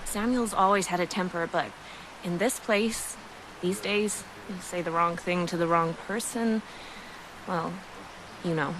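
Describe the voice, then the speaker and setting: A woman's voice: on the low side, measured pace. Guarded woman settler, faint noise behind. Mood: worried, guarded. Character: worried woman settler telling of a missing friend. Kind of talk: video game cutscene acting.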